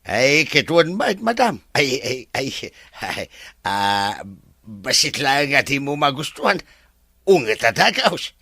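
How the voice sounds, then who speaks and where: A man playing a deferential, excitable farm worker in a radio drama, with drawn-out folksy exclamations. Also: medium pitch, creaky, husky, no room sound, studio quality, exaggerated voice. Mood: sheepish, playful.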